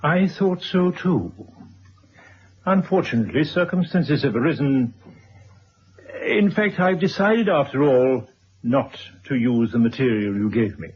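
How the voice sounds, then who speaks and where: A man acting a stern, unbending writer in a radio drama, speaking formally and deliberately with a husky voice. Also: mid-range voice, lively intonation, slight background noise, close to an everyday voice. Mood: stern, measured. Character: stern, unbending male writer confronting an old acquaintance. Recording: dull, muffled old radio recording.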